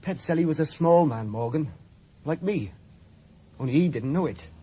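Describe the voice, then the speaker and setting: An actor playing a wary middle-aged bookmaker who answers grudgingly and dodges questions in a radio drama. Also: male voice, a little high, lively intonation, moderate pace, warm tone, husky. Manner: grudging and evasive. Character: shifty middle-aged male bookie, evasive and wary.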